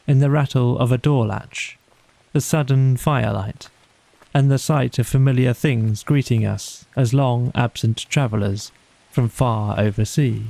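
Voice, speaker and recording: Casting: male bedtime audiobook narrator, very unhurried and soothing, dark mellow tone, close dry sound. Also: medium pitch, fairly even intonation, gravelly, no echo, poor audio. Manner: measured, soothing, deliberate phrasing. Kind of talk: slow bedtime storybook narration.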